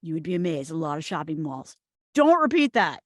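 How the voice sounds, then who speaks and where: A woman in a comedic script read, playing a blunt, pushy, oddball friend with swaggering confidence and emphatic, deadpan delivery. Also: deep voice, a little fast, no room sound, studio quality. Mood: earnest boasting. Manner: emphatic, forceful, deadpan boasting. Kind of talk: screenplay table read, comedic acting.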